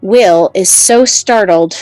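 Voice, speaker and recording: A woman's voice: mid-range voice, slow pace, darkish tone, soft background music. Female narrator, medium register, reading scene descriptions from a script, no room echo. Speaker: narrator reading stage directions.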